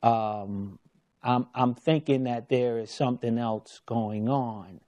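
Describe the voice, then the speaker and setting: Male documentary narrator, unhurried personal retelling, mid-pitched, chatty with quoted dialogue. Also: fairly even intonation, warm tone. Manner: conversational, retells with quoted dialogue, frequent repeated words. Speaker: podcast host telling his story.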